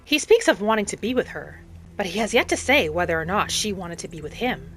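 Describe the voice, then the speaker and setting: Gentle young elf traveler, played by a woman, curious and musing, cozy fantasy game narration, faint music underneath. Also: mid-range voice, expressive, a little fast. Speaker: gamer narrating a roleplay as her character. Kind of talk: first-person in-character roleplay narration. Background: game music behind the voice.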